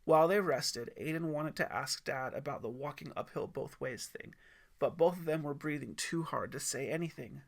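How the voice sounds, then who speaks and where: A man's voice: a little high, normal speed, vocal fry. A man reading scripted story narration as the narrator of his own audiobook.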